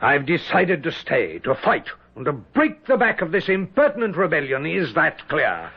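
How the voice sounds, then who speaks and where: Haughty general, wildly swooping husky voice. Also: male voice, on the high side, big pitch swings, exaggerated voice. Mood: menacing, defiant. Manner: commanding, theatrical, deliberate. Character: imperious British general, haughty, wry and curious. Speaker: actor in a radio drama. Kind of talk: 1970s radio drama acting. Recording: dull lo-fi 1970s radio recording.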